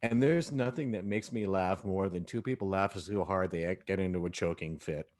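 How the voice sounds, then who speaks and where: Low-voiced man chatting as a director. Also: moderate pace, a little mellow. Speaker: director of an audio drama. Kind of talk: casual cast discussion.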